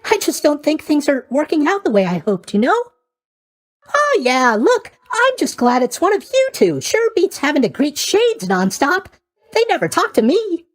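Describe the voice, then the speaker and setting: A man's voice: very high voice, huge pitch swings, normal speed, exaggerated voice. A man voicing a chatty, eager-to-please young god of sleep in a video game, rambling along with bubbly asides. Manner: rambling, bubbly, hesitant asides, tag questions. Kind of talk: video game character voice acting.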